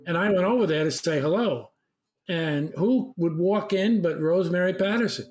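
A man's voice: high voice, moderate pace, darkish tone, a little hiss or hum. An older man casually sharing personal reminiscences in a group discussion. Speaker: discussion participant. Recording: music behind the voice.

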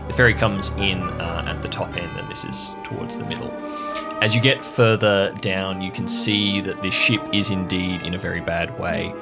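A man narrating as game master of a tabletop game, improvising descriptive scenes with hesitant pauses. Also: low voice, vocal fry, over loud music.

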